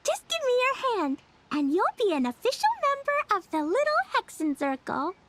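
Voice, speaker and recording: Very high, bubbly little girl witch. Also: sing-song, normal speed, a bit bright, a little hiss or hum, full character voice. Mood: welcoming. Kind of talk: animated video game voice acting. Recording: music behind the voice.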